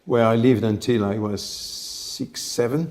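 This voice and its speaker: Gravelly man in his sixties. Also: medium pitch, steady tone, a little mellow. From France. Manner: measured, reflective, pauses often to find words. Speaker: company head, interview guest. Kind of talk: relaxed interview storytelling.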